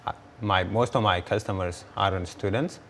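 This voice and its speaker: A man's voice: low-pitched, moderate pace, a bit bright, slight background noise. A young man, answering interview questions earnestly and often repeating words as he restarts. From Ethiopia. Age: 20s. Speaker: guest entrepreneur.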